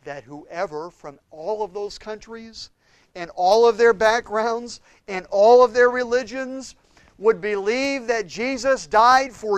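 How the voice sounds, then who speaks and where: A man preaching a sermon with impassioned swings between loud and hushed delivery. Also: very high voice, dry recording. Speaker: pastor.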